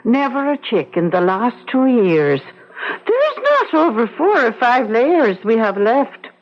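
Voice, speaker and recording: An actress playing a warm, bustling older Irish farm aunt in a radio drama, chatty and with an Irish accent. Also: female voice, on the high side, wildly swooping pitch, unhurried, full character voice. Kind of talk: old-time radio drama acting. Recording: muffled old radio recording.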